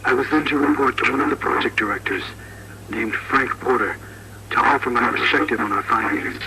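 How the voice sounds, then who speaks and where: Man playing a gravelly, fearful archaeologist on noisy tape. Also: medium pitch, lightly acted. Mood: grave, resigned. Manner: solemn, halting, deliberate. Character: fearful, scholarly male archaeologist recording a final log. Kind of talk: found-recording horror monologue. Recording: very noisy, crackly old tape recording.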